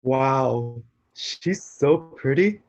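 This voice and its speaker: A man's voice: medium pitch, animated, extremely slow, darkish tone. Man playing an earnest, self-doubting young god. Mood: wistful. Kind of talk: live staged reading of a play.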